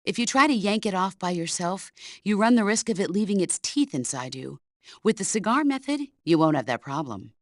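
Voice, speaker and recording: A woman's voice: medium pitch, expressive, a little fast, dry recording, studio quality, close to an everyday voice. A middle-aged woman playing a stern, commanding veteran soldier who mentors her former protégé in a video game. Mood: matter-of-fact. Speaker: military mentor character. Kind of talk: video game voice acting, radio briefing dialogue.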